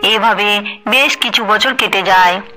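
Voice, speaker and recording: A woman's voice: medium pitch, measured pace, bright, forceful tone, faint music behind. A woman narrating a scripted story as the storyteller.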